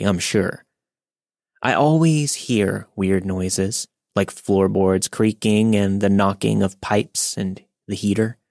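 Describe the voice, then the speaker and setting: Brief: low male narrator, calm scripted reading, close dry mic. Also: creaky, dry recording. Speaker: podcast narrator. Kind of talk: scripted narration of a listener's story.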